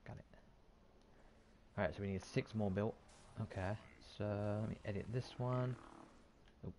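A man with a fairly low voice and a lot of creak, talking casually as he plays a game. He half mutters to himself, self-deprecating, with faint game sound behind him. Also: a little mellow, slight background noise. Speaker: gaming streamer. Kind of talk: casual gameplay commentary.

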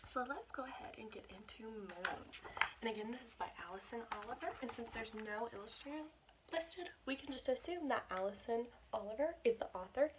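High-voiced young American woman reading to children. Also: brisk. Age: 20s. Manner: expressive, encouraging, addressing young listeners. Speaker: teacher reading aloud to children. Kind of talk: children's picture-book read-aloud with asides. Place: small bedroom indoors. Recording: clear background noise, home camera microphone.

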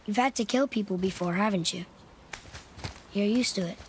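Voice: mid-range voice, moderate pace, slight background noise, close to an everyday voice. A young performer playing an earnest young boy in a video game, the questioning son of a warrior, with an informal, eager way of talking. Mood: troubled, questioning. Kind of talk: dramatic video game acting.

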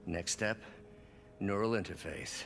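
A man playing a weary, middle-aged scientist in a video game cutscene, measured, reflective and wry. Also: on the low side, vocal fry, fairly bright tone, slight background noise. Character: weary, thoughtful older scientist with quiet regret. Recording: music behind the voice.

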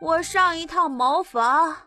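A woman voicing a small, self-assured child lord in an audiobook, with a babyish, drawn-out manner. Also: very high-pitched, sharp tone, faint music behind, exaggerated voice. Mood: pleased, bossy. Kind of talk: audiobook character dialogue.

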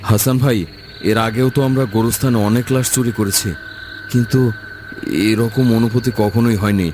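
A man's voice: medium pitch, fairly even intonation, moderate pace, over music, lightly acted. A man playing a stammering timid grave robber. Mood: uneasy. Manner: stammering, fearful.

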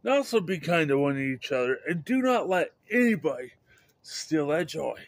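A middle-aged man vlogging outdoors, talking into a phone held at arm's length, halting and restarting words in an upbeat, rambling way. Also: a little high, sing-song, no echo. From Idaho, USA. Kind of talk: rambling casual vlog. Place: outdoors by a house under overcast sky. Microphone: handheld phone camera at arm's length.

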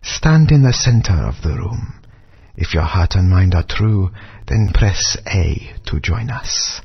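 An actor playing an ancient, solemn male spirit who speaks in a measured way. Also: low-pitched, big pitch swings, husky, heavily acted. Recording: dull lo-fi game audio.

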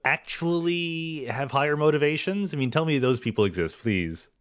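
A man's voice: fairly high pitch, lively intonation, normal speed. A man hosting a podcast interview, asking curious, prompting questions and laughing easily. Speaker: podcast host. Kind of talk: conversational interview.

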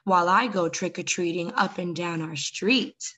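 A woman's voice: on the low side, moderate pace, a bit bright. A young adult woman hosting story time, reading a picture book expressively and speaking directly to young children.

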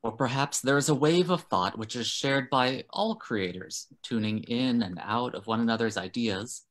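A man's voice: mid-range voice, normal speed, close to an everyday voice. A man playing a pompous intellectual who delivers a bookish, mock-scholarly monologue in a comedy sketch. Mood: pompous, musing.

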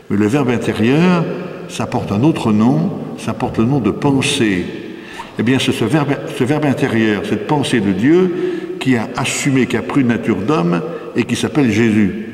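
Older French priest preaching in echoing church. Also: male voice, medium pitch, animated, unhurried, a little mellow, a little hiss or hum. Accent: French from France. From France. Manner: didactic, rhetorical questions, emphatic repetition. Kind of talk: spoken sermon, teaching a congregation. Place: inside a church, before an altar. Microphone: microphone on a stand, close to the mouth. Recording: echoey church with long reverb.

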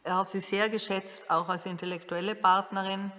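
A woman's voice: mid-range voice, level tone, moderate pace, music in the background. A woman giving considered, academic commentary as an expert in a documentary interview. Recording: long reverb.

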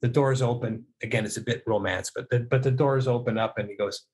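A man's voice: medium pitch, a little fast. A middle-aged man from Canada telling casual stories on a video call, acting out bits of dialogue as he goes. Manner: animated storyteller who acts out dialogue. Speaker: guest, music producer and audio mixer. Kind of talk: casual anecdotal storytelling. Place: indoor room on a video call.

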